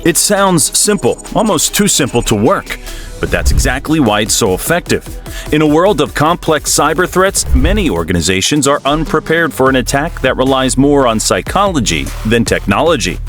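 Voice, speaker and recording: A man narrates a documentary from a script with a mid-pitched voice and very lively, varied intonation. He explains things step by step and addresses the listener directly. Music plays faintly behind him. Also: normal speed. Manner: explanatory, rhetorical questions to the listener.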